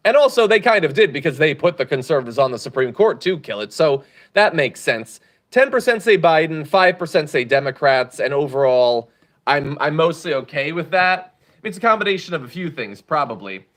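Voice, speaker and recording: A man's voice: fairly high pitch, expressive, fairly quick, a bit bright. A man hosting a political commentary show, giving blunt, sarcastic opinions on the news.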